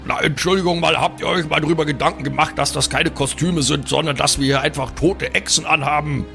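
Gravelly man playing tabletop role-play. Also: on the high side, fairly even intonation, a little fast, pushed and bright, soft background music. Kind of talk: improvised, joking role-play chat.

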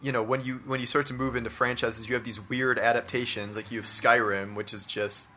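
A man's voice: mid-range voice, little pitch movement, fairly quick, pushed and bright, slight background noise. A man talking casually and giving his opinions in a discussion about his hobby, peppering his speech with "like" and "you know". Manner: conversational, hedging with "like" and "you know". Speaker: podcast co-host discussing video games.

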